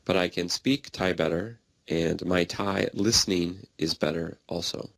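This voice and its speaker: Man, very low voice, slow and steady, dry close mic, simple phrasing for learners. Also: vocal fry, no room sound. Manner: plain, simple sentences, easy pace. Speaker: English learner in a practice dialogue. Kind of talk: slow scripted conversation practice.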